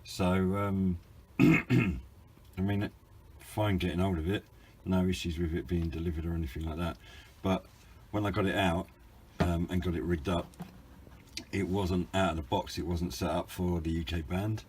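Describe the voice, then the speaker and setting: Deep-voiced British man, informal review. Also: steady tone, a little slow, a little mellow, slight background noise. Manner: hesitant, rambling, understated. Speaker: hobbyist product reviewer. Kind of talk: informal unboxing and review narration.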